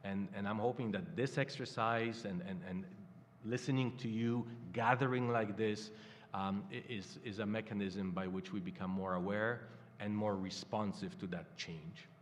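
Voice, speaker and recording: An older man from Poland with a Polish accent, speaking haltingly as a guest at a live panel. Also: lowish voice, fairly even intonation, a little hiss or hum. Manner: halting, many fillers and restarts. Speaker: campaign guest. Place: stage in a hall before a live audience. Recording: hall reverb.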